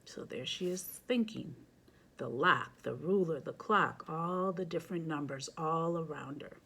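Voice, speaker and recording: A woman with a fairly low voice hosts a read-aloud for children. She moves between casual chat and expressive picture-book narration, adding her own chatty asides as she goes. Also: measured pace, gravelly, noisy background.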